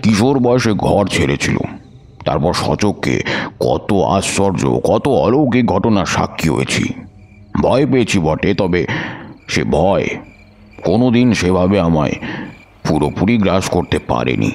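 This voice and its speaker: An actor playing an aging tantric storyteller who muses slowly, in old-fashioned phrasing, about his past. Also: sits low, sing-song, darkish tone, heavily acted. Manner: slow, musing, old-fashioned speech. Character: aging male tantric storyteller, weary and reflective. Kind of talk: audio drama narration and dialogue.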